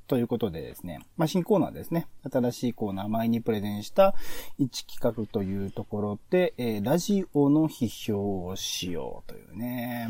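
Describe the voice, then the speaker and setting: A man hosts a casual review podcast with a soft, mellow tone and widely swinging intonation. He feels his way through sentences, stretching out fillers while he thinks. The sound is close and dry. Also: medium pitch, dry recording. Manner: halting, thinking aloud with drawn-out fillers. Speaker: podcast host. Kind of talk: casual podcast talk reviewing radio shows.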